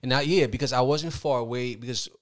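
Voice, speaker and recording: A man in his thirties from Liberia, telling his story as a podcast guest in a reflective, unhurried way. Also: medium pitch, no echo, clean studio sound. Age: 30s. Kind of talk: casual interview.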